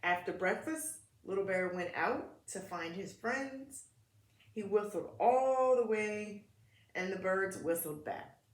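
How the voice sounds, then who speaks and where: A woman's voice: medium pitch, lively intonation, slow. A woman reading a picture book aloud to preschool children in short, simple phrases, talking to them directly. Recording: echoey room.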